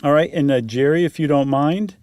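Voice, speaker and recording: A man's voice: on the high side, brisk, a little mellow, dry recording, studio quality. A man co-hosting a podcast in casual chat, with a dryly joking way of talking. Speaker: podcast co-host.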